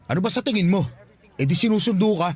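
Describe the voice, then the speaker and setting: Casting: domineering jealous boyfriend, Filipino accent, scornful, widely swinging delivery, radio drama. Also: male voice, high voice, expressive, fast talker, darkish tone, husky. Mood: curt, suspicious. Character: jealous, bullying, controlling young boyfriend. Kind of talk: Tagalog radio drama acting. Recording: music bed behind the voice.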